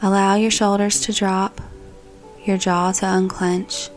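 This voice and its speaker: A woman narrating a scripted guided meditation in a calm, gentle, soothing manner. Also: mid-range voice, slow. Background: background music.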